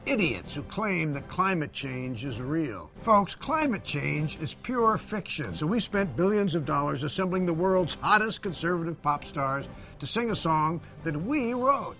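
A man's voice: a little high, sing-song, a little slow, gravelly, pushed and bright, faint music behind, exaggerated voice. A man playing a smug, pompous billionaire climate denier in an emphatic comedy sketch announcement. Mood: smug, scornful.

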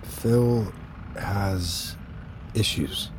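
An actor playing an anxious middle-aged father and security chief in a naturalistic audio drama, halting but reassuring. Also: lowish voice, noisy background. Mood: tender, worried.

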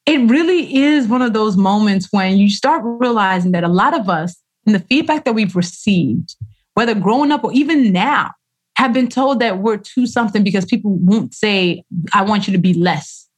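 A woman author talks through a casual interview at a mid pitch. She is expressive, acting out what other people said in their own voices and dropping in slang. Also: normal speed, breathy edge. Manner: expressive storyteller who acts out quoted voices, uses slang. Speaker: author guest.

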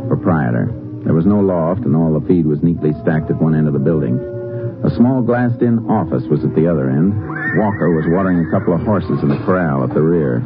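An actor playing a laconic, authoritative Texas Ranger in a 1950s radio western, speaking in a terse, matter-of-fact way. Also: male voice, deep voice. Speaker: lead actor and story narrator. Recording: dull vintage radio recording, music.

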